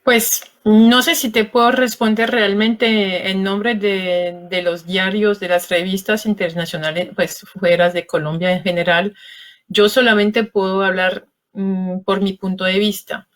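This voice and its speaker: A woman from France, a journalist being interviewed, speaking with a French accent in a measured, hesitant way as she looks for words. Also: mid-range voice, little pitch movement. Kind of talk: spoken interview answer.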